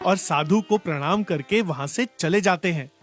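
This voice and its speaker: Male storyteller, high voice, scripted children's tale narration, soft music underneath. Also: moderate pace.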